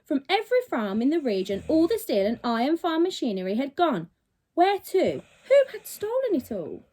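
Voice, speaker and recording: A woman reading a children's storybook aloud in an animated, dramatic, teacherly way. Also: high-pitched, normal speed, rough recording. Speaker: primary school teacher.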